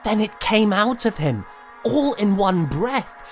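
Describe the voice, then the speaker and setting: Music plays under a man acting as a proud young composer in a period audio drama. He speaks at an unhurried pace in formal, old-fashioned phrases, with a theatrical storyteller's delivery. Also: sits high, big pitch swings, heavily acted. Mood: wry, dramatic. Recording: music behind the voice.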